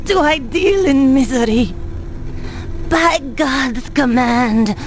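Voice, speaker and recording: A woman's voice: sits high, animated, slow pace. An actress playing a deranged noblewoman oracle who speaks like a zealous executioner of God in a video game. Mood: crazed, anguished. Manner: zealous, incantatory, theatrical. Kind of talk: dramatic video game acting. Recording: game cutscene with music behind.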